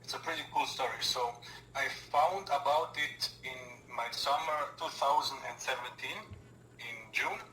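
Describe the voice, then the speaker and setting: Earnest young man from Austria, bright tinny call. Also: medium pitch, moderate pace, a little hiss or hum. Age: 20s. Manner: earnest, repeats words, slightly non-native phrasing. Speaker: podcast guest. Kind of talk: casual interview chat. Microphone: remote call. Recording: roomy, tinny call audio.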